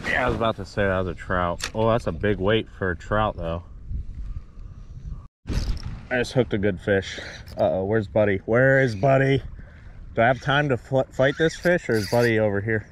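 A young man hosting a fishing vlog, chatting casually and playfully with excited exclamations while wading outdoors. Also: fairly low pitch, normal speed, pauses often, a little hiss or hum. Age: young adult. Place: outdoors wading in open shallow water. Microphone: action camera, handheld or body-worn.

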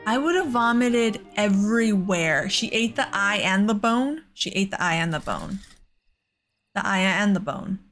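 A woman's voice: mid-range voice, expressive, measured pace, fairly bright tone, soft background music. A woman hosting a casual let's play, with an exasperated, sarcastic way of talking. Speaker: gaming host.

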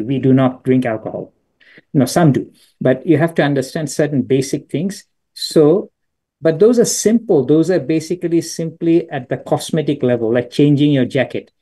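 A middle-aged man from Bangladesh teaches through stories on a video call. His voice is warm and mellow, sitting at a middle pitch. He pauses and restarts phrases as he thinks aloud. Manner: thoughtful, explains with anecdotes, frequent restarts. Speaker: business school professor. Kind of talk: answering questions in an online lecture.

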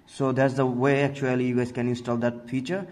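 A man's voice: mid-range voice, little pitch movement, brisk, a little mellow. A man hosting a tech tutorial, narrating casually step by step and often addressing viewers as guys. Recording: noticeable background noise.

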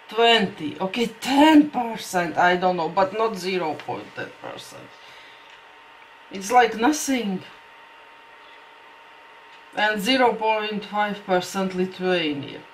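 A woman's voice: mid-range voice, a little hiss or hum, poor audio. A middle-aged woman with a Latvian accent, talking casually to a webcam at her desk at home and thinking aloud with many restarts. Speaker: vlogger. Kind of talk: casual talk to camera. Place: small home room with shelves.

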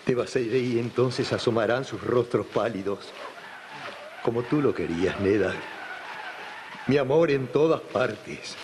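A middle-aged Argentine actor playing an anguished, adoring lover in an impassioned, melodramatic radio soap opera style. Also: male voice, mid-range voice, unhurried, pauses often, noisy background, natural voice. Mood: pleading. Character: anguished, adoring male lover, tender and feverish. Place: TV studio radio-play stage. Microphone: large vintage studio microphone. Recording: reverberant studio sound over music.

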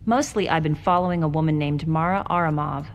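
A woman's voice: deep voice, fairly quick. A woman playing a self-assured young former federal agent giving testimony in a video game cutscene. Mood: measured, wry. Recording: noisy, reverberant hall, game ambience.

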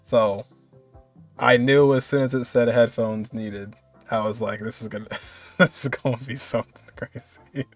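A man in his twenties reacts casually to a video. He talks slowly at a medium pitch, in amused, muttered exclamations. Faint music sits behind him. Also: steady tone, dry recording. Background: music from the video he is watching.

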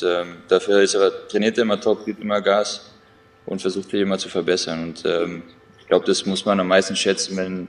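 A young man's deep voice comes over a video call, flat and even in tone. He talks haltingly, with many fillers. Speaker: injured player on video call.

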